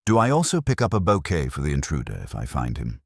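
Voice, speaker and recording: Man playing a wry, deep-voiced detective's sidekick. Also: huge pitch swings, quick pace, vocal fry, husky, no room sound, heavily acted. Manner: wisecracking, dry. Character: wry, cheeky male detective's assistant.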